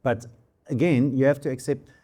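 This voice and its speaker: A South African man whose first language is Afrikaans, a musician answering as a panel guest, thoughtful and halting with frequent restarts. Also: medium pitch, steady tone, warm tone. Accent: South African English. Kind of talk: reflective conversation.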